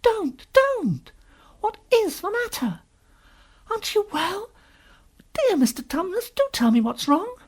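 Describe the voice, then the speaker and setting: A woman's voice: sits high, sing-song, moderate pace, a little mellow, voice pushed up. A woman voicing an earnest, kind-hearted, anxious little girl in a storybook, pleading and exclaiming. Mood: distressed.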